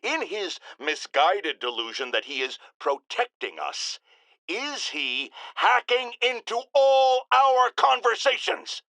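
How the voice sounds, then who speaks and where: An actor playing a bombastic, paranoid middle-aged radio talk show host in a video game, ranting with indignant, theatrical emphasis. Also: male voice, sits high, very animated, slow, sharp tone, no room sound, full character voice. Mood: suspicious, outraged.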